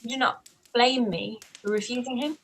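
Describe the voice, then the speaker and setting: A woman playing a witty, sharp-minded young woman with a dry, wry delivery in a live-read radio play. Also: fairly high pitch, fairly even intonation, moderate pace, breathy edge. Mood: earnest.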